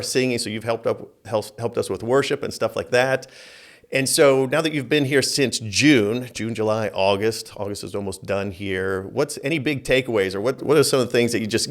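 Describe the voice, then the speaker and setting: A man hosting a church podcast, talking in a loose, rambling way with many fillers and repeated words. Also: mid-range voice. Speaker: podcast host. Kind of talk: casual interview chat.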